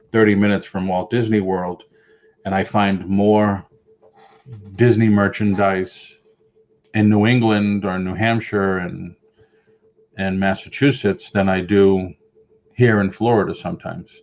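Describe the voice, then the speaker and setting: A man's voice: lowish voice, a little mellow, lo-fi sound. A middle-aged man with a Boston accent, chatting casually on a home live stream, rambling and answering viewer comments. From Boston area. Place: home room with shelves of collectibles.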